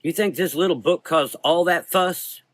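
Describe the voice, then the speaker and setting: A man's voice: fairly high pitch, normal speed, a bit bright, no echo, voice pushed up, lightly acted. A man voicing a strong, blunt and caring young woman with curious, informal questions in a gothic horror audio drama. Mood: curious, puzzled.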